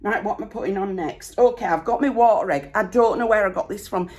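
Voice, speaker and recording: Middle-aged northern english woman, slightly croaky, rambling homely chat, lots of ums. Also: mid-range voice, fast, a little mellow. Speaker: vlogger. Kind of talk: chatty get-ready-with-me storytime.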